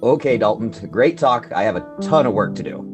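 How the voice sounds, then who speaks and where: An actor playing an exasperated, bookish literature expert in a radio play, dry and incredulous in manner. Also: male voice, mid-range voice, animated, a little fast, over music, lightly acted.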